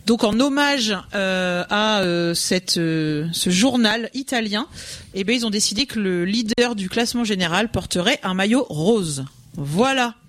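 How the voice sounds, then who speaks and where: A woman's voice: mid-range voice, lively intonation, a little slow. A woman hosting a quiz on stage at a live radio event, chatty and hesitant with many fillers, speaking into a handheld mic. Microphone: handheld microphone.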